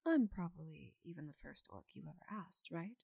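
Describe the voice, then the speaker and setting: Woman acting as giant orc lady knight, mellow low voice, unhurried, confident, teasing, intimate ASMR roleplay. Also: expressive, gravelly, husky, dry recording, studio quality, exaggerated voice. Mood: calm, amused.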